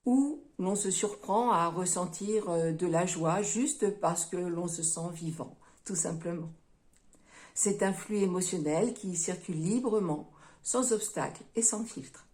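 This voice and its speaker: A woman gives a tarot and astrology reading straight to her audience, calling them her friends. She takes her time, and her delivery is slow and mellow. Small hesitations thread through her explanations. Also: lowish voice. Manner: gentle, unhurried, with frequent hesitations; addresses viewers as friends. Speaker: tarot reader.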